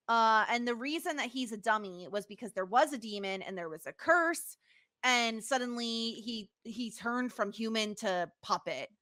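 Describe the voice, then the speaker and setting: A woman's voice: medium pitch, bright, forceful tone. A woman co-hosting a podcast, chatting casually through an episode recap in an informal, giggly way. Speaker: podcast co-host.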